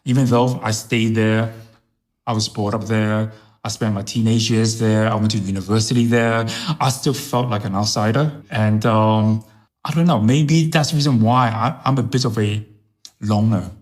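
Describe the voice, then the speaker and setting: Man, Chinese native, low unhurried voice. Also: fairly even intonation. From Hong Kong, raised in New York. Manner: repeats phrases for emphasis, unhurried. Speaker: podcast guest, fragrance brand director. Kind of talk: casual interview chat.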